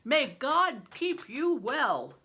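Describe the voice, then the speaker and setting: A woman voicing a huge, shrewd, blustering medieval man in a storybook, booming and theatrical as he questions in archaic speech. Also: sing-song, extremely slow, bright, forceful tone, heavily acted. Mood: booming, hearty.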